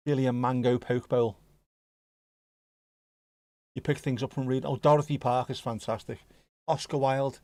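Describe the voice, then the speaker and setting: A man's voice: mid-range voice, steady tone, moderate pace, long pauses, mellow, dry recording. Man, Scouse accent, casual joking livestream chat, dry close mic. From Liverpool area, England. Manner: chatty, joking, swears casually. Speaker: livestream host. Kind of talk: casual chat reacting to live chat.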